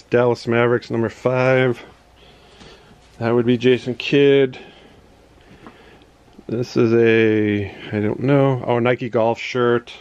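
A middle-aged man giving a casual, halting show-and-tell in a small indoor room on a handheld camera. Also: medium pitch, a little mellow, clean tone, lo-fi sound. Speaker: reseller showing storage unit finds. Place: small cluttered home office, indoors. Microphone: handheld phone or camera. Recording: noisy handheld home recording.

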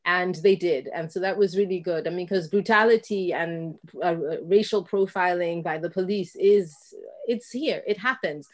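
A woman's voice: lowish voice, moderate pace. Woman talking thoughtfully on a video call. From Kenya. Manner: reflective, animated storyteller who acts out quoted exchanges. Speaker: dancer and guest. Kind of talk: casual conversation on a video call. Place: home room with bookshelves. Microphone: video call, laptop mic.

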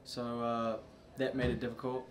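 A young man, a soccer player, answering questions at a post-match press conference in a subdued, halting way with many fillers. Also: mid-range voice, level tone, slight background noise. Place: press room before a sponsor backdrop.

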